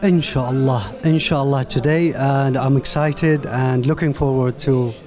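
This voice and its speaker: A man from Pakistan and Iraq who does not speak English as a first language, answering a host's questions as a competition contestant in an earnest, polite, halting way. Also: medium pitch, steady tone, music in the background.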